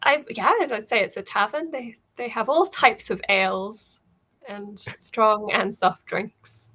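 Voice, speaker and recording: Bright-voiced woman running a fantasy game. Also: mid-range voice, slow. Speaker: game master. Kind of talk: improvised narration of a tabletop game.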